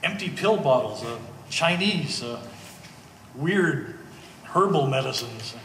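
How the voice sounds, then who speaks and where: Easygoing middle-aged ex-hippie guy played by an actor, halting and friendly, stage play, echoey hall far from mic. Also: mid-range voice, animated, fairly bright tone. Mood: puzzled searching. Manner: hesitant, halting, amiable. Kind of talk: live one-act stage acting. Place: art gallery stage reading. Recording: echoey hall, distant mic, faint noise.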